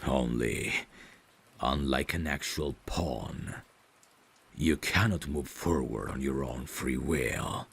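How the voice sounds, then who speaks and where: A man playing a devoted, courteous vampire butler in an intimate ASMR audio roleplay, speaking formally and deliberately in a gravelly, breathy voice. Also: very deep voice, a bit bright, heavily acted. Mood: grave, sympathetic. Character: devoted, courteous male vampire butler, tender yet menacing.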